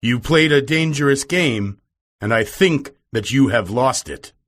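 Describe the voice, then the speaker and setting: A man's voice: mid-range voice, animated, a little slow, a bit bright. A man reading aloud who voices a hot-tempered, blustering older professor with a roaring manner. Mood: furious.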